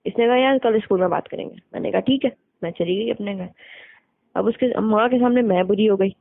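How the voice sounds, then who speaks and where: Creaky-voiced young woman on the phone. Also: mid-range voice, fairly quick, dry recording. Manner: colloquial, blunt, quotes people as she retells. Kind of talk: casual chat, recounting school-days gossip. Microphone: phone line. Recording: narrow dry phone-call recording.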